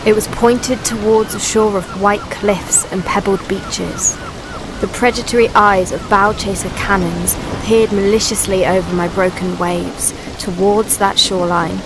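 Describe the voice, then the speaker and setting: A woman's voice: mid-range voice, a little slow, background noise, natural voice. A woman voicing the ancient Sea as a grave, brooding, poetic narrator in a scripted radio play. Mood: grave, vivid. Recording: steady rain and water ambience behind.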